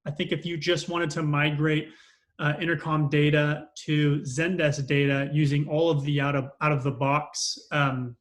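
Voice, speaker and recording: A man giving an unscripted customer testimonial as an operations manager, speaking hesitantly with frequent mid-sentence pauses. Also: a little high, level tone.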